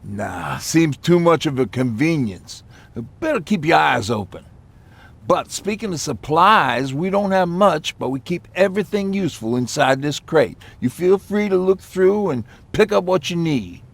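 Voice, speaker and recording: Gravelly, wary survivor mechanic. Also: male voice, fairly high pitch, expressive, unhurried, exaggerated voice. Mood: wary, businesslike. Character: practical, wary male survivor and repairman. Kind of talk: video game drama dialogue.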